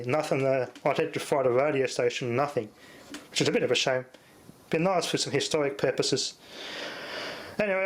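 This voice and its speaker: A man's voice: medium pitch, a bit bright. Australian man, casual talk over background noise. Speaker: host of a repair channel. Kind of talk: casual unscripted demonstration talk.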